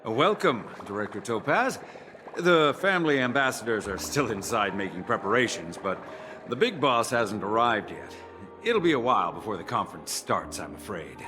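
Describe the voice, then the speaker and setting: A man's voice: fairly low pitch, sing-song, moderate pace. An actor playing a polite male corporate subordinate who briefs his boss deferentially in a video game, with music behind. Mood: deferential briefing. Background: background music.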